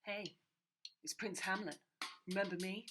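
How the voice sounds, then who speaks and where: A woman's voice: medium pitch, measured pace, heavy background noise, natural voice. A woman voicing a brash young male prince who raps a famous speech in a hyped, rhythmic delivery over a beat. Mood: hyped rapping. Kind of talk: comic rap performance. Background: loud music beat.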